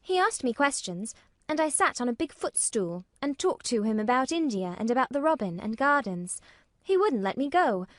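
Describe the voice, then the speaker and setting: A blunt, self-assured young girl, as a woman reads her, her high voice clipped and matter-of-fact. The pitch swings about freely. The recording is close and dry. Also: big pitch swings, normal speed, fairly bright tone, no room sound.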